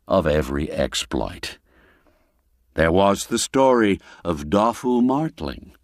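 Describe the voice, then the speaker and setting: A man narrates an old folk mystery tale in a low voice that rises and falls widely. He takes his time with each phrase, telling it with measured expression. The recording is very dry and close. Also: dry recording. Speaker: story narrator.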